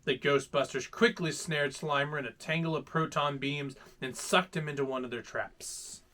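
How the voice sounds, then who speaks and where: A man hosting a children's story time, reading aloud in a playful, dramatic, animated way. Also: a little high, normal speed. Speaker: host reading children's stories.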